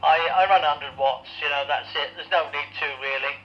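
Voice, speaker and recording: An English man with a fairly high voice chats on amateur radio. His delivery is casual and halting. The radio transmission sounds dull and lo-fi. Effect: two-way radio transmission. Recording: dull, band-limited amateur radio audio.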